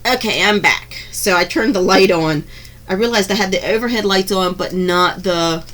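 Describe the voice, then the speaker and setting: A woman chatting through a craft unboxing in her home craft room, gushing over each piece with frequent ums and restarts, her voice a little hoarse from being under the weather. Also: lowish voice, fairly bright tone, slight background noise. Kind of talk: casual unboxing narration.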